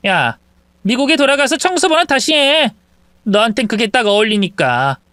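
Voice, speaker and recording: A woman voicing a scheming young mistress who taunts and shouts in a dramatized story. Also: big pitch swings, normal speed, no room sound, studio quality. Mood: mocking. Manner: taunting, shrill when shouting.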